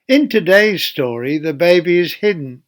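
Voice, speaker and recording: A man reading a Bible story aloud to children as a church storyteller, speaking deliberately and putting questions to his young listeners. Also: on the high side, lively intonation.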